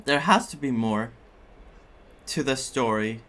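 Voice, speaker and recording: A man giving casual, reflective playthrough commentary as a streamer, haltingly and repeating his phrases. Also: mid-range voice, fairly bright tone. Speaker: gaming streamer. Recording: game music behind the voice.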